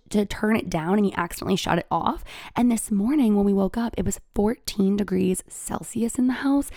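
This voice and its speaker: Female podcast host, solo motivational chat: slightly raspy with vocal fry, earnest and confiding, close dry sound. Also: medium pitch, darkish tone, no room sound.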